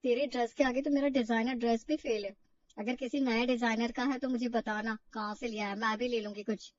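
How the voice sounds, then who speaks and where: Inquisitive young college woman acted by a storyteller, high, chatty, slightly dull recording. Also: fairly even intonation, a little fast, far from the mic, lightly acted. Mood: curious. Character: curious, fashion-loving young female college friend.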